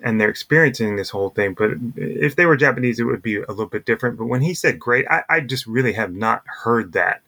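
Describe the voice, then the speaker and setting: Male sports podcast co-host, medium pitch, casual, thinks out loud. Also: animated, quick pace, hardly any pauses. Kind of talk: casual baseball discussion.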